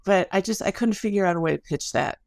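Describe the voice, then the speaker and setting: A woman's voice: medium pitch, quick pace, creaky, noisy background. A middle-aged American woman explaining her research as an interview guest in an informal, articulate, storytelling way. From Chicago area, United States.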